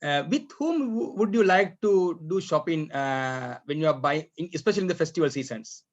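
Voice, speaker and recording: A man running a mock speaking exam as tutor, talking haltingly with many fillers and often clearing his throat, heard over a video call. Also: fairly high pitch, animated. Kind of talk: examiner questions over an online class. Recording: dull lo-fi online call audio.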